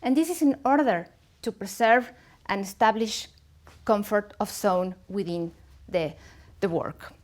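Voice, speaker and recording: A woman from Mexico with a Mexican accent, a native Spanish speaker, giving a measured, formal instructional presentation as a seminar trainer. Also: mid-range voice.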